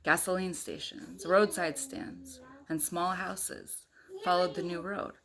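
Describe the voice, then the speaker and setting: A woman's voice: on the low side, steady tone, slow, a crowd in the background, low-quality recording. A woman doing a casual storytime read-aloud, speaking informally as a mother does to small children, with chatty asides and questions. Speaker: mother reading a picture book to young children and viewers.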